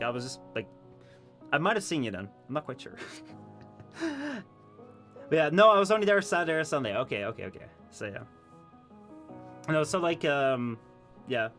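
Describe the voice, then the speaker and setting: A man's voice: fairly high pitch, fairly bright tone. A tired young man, a Dutch native speaker, chatting casually and rambling with his live-stream chat, full of fillers and restarts. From Netherlands. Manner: rambling, many fillers and restarts, laughs often.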